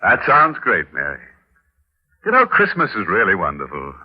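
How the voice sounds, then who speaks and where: A muffled vintage radio broadcast of a ghoulish horror host played by a man, very low and husky. He is theatrical and sardonic, relishing the macabre with mocking glee in 1940s radio style. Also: huge pitch swings, normal speed, heavily acted. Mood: jovial, macabre.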